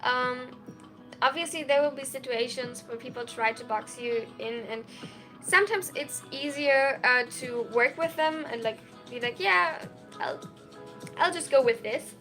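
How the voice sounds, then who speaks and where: High-voiced giggly young woman streamer. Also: a little slow, a bit bright, soft background music. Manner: chatty and giggly, full of "like" and "um". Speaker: streamer and college student. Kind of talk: casual livestream chat answering viewer questions.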